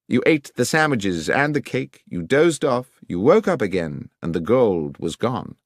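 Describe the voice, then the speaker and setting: A man voicing a brisk, coolly confident Victorian detective with an English accent, precise and authoritative, in an audiobook. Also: mid-range voice, big pitch swings, no echo, clean studio sound. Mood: probing. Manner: precise, authoritative, methodical questioning. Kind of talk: audiobook character dialogue.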